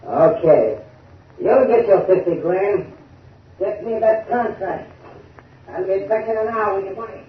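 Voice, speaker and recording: Casting: blunt shady businessman, high voice, impatient, vintage radio with muffled, roomy sound. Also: a little slow, long pauses, distant mic, background noise. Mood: grudging, brisk. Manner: blunt, pushy. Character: impatient, tough male contractor paying bribes. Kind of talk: 1930s radio acting. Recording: muffled, echoey film soundtrack from afar.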